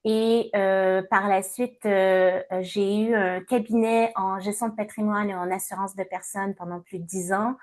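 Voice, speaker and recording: A woman's voice: medium pitch, steady tone, a little mellow. Hesitant Québécois woman in her fifties. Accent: Québécois French. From Montreal, Canada. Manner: measured, many hesitations. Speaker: guest, entrepreneur and coach. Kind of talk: interview answers.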